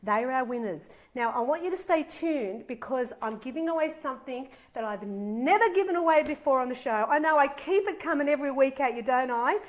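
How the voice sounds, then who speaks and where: A bubbly, middle-aged woman hosts a live cooking show from her home kitchen. Her voice sits a little high and sounds slightly nasal from a head cold. She chats with enthusiasm as she walks viewers through each step. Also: expressive, a little fast, hardly any pauses. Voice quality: a bit nasal. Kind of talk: live cooking demonstration, chatty.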